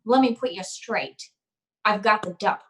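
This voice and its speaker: A woman's voice: medium pitch, a little slow, natural voice. A woman playing a tough, wisecracking, unflappable private detective in an old-time radio drama, with clipped, slangy delivery. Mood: cool, sardonic.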